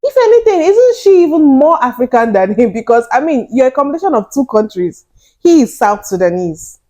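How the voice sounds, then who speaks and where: A young woman vlogger giving casual, animated commentary straight to her viewers, often incredulous. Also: mid-range voice. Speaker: reaction vlogger.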